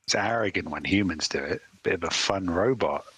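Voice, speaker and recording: Low husky man riffing on jokes. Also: fairly quick, fairly bright tone. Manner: riffing, laughing at his own absurd ideas. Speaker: podcast co-host. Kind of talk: casual comedic chat.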